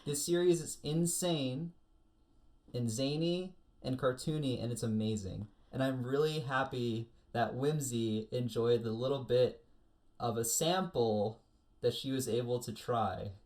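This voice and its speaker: Anime review cohost just chatting, jokey and slangy, medium pitch. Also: a little slow, darkish tone. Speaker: co-host of an anime review show. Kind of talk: casual chat review.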